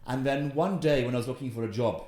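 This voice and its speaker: A Welsh-accented man in his fifties recounts his career as an interview guest, with animated, emphatic delivery. His voice sits at an ordinary middle pitch. The recording carries a noticeable roomy echo from a room in his home. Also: fast. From Wales. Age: 50s. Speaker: investment guest. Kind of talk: storytelling in an interview.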